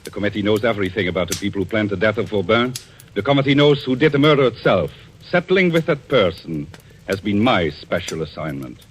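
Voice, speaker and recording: An actor playing a vengeful, coolly controlled man in a 1940s radio suspense drama, speaking deliberately and theatrically. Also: lowish voice, very animated, slight background noise. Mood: cold, accusing. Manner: deliberate, theatrical, quietly menacing. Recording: old radio recording with music.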